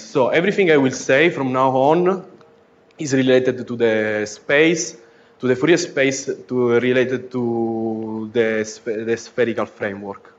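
Man giving a lecture. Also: medium pitch. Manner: joking, informal, with fillers and restarts. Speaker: postdoc giving a conference talk. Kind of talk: academic lecture. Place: lecture room. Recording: dull lo-fi lecture recording.

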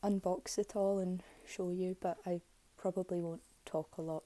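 A young woman with a slightly hoarse voice, giving low-key, halting commentary on an unboxing. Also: level tone, mellow, a little hiss or hum. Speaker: K-pop album unboxing vlogger. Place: bedroom, filming items on a bed.

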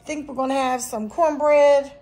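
A woman's voice: sits high, fairly even intonation, moderate pace, dark tone, slight background noise. A woman vlogging a grocery haul in her home kitchen, chatty and casual, filming on a handheld phone. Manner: chatty, lots of "you guys" and "um". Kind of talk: casual chat to camera.

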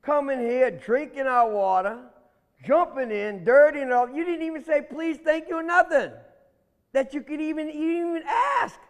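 A man's voice: sits high, expressive, moderate pace. A man voicing a feisty, indignant catfish leader in a folktale, exclaiming and scolding in folksy speech to protect his pond. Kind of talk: oral animal folktale. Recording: echoey hall, music.

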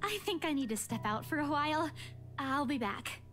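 A woman voicing a flustered, apologetic young female realtor in a visual novel, hesitant and expressive. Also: sits high, moderate pace, voice pushed up. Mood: subdued, apologetic. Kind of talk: visual novel character read-aloud. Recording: music behind the voice.

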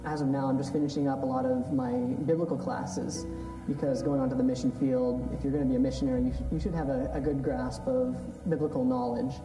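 A man's voice: medium pitch, fast. A young man from Washington State, an aviation student, talking earnestly with some fillers. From Washington State, USA. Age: young adult. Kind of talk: documentary interview. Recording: roomy, distant, under music.

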